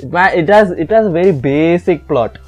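Young man, teasing, jokey, swears, slightly high voice, clear music behind. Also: lively intonation, moderate pace, a little mellow, dull audio. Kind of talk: casual chat with a friend.

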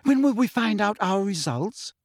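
A man voicing a clever, brisk and bossy teenage schoolgirl. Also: high voice, big pitch swings, gravelly, husky, no echo, studio quality, pitched above the performer's own voice, heavily acted.

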